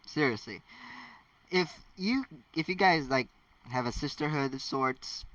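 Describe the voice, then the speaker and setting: A man's voice: mid-range voice, a bit bright, no room sound. A man from the Philippines chatting casually between story readings, rambling and correcting himself as he goes. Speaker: fan fiction reader and host. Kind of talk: casual chatty asides while reading stories. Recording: slightly muffled, distant mic.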